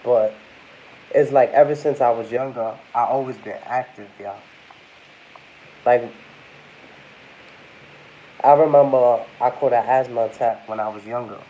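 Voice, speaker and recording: A man's voice: medium pitch, unhurried, long pauses, dark tone, slight background noise, rough recording. A congested young man vlogging casually, rambling and talking to his viewers as y'all. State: congested, under the weather. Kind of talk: casual talk to camera.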